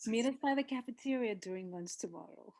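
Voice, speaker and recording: Woman playing teenage mean girl, edgy, scornful, bossy crew leader, fairly high pitch, scripted drama read. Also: fairly quick, a little mellow, close to an everyday voice. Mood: mocking, commanding. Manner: scornful, commanding.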